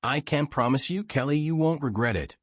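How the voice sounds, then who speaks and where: A man's voice: fairly high pitch, lively intonation, a little fast, no echo, clean studio sound, voice pushed up. A man voicing a frank, wry old American war reporter reminiscing in folksy, self-deprecating audiobook dialogue. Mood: kindly advising.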